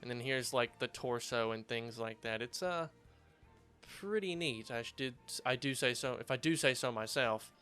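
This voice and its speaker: Slightly hoarse man narrating gameplay. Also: mid-range voice, moderate pace, pushed and bright, faint music behind. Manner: rambling, filler-heavy, upbeat. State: slightly hoarse after surgery. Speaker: let's play host. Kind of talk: casual gameplay commentary. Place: home gaming setup, recording standing up.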